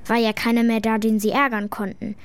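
A woman's voice: a little high, level tone, brisk, lightly acted. A female performer playing a reluctant, candid schoolgirl in a children's radio play, speaking in a hesitant, defensive, childlike way. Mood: subdued, confessing.

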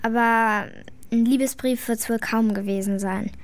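A woman's voice: a little high, steady tone, measured pace, no echo. A female performer playing a cheeky, outspoken, talkative schoolgirl in a German radio play. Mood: frank, explaining. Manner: pert, talkative, earnest.

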